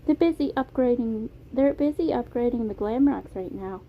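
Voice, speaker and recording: Female reader as cheerfully compliant young girl in narrated fiction; high voice, phone-like audio. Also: expressive, normal speed. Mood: agreeable, bright. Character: young girl, agreeable and easygoing. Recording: tinny phone-like audio, clear noise.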